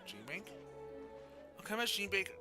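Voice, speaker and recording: A man's voice: high-pitched, very animated, normal speed, over loud music. A man hosting a casual game livestream, chatting and reading story text aloud. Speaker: video game streamer.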